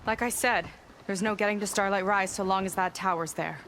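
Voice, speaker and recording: An actress voicing a confident young woman boat captain who talks in a calm, assured way and takes charge. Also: medium pitch, fairly quick, slight background noise, natural voice. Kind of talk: video game cutscene acting. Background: game score music.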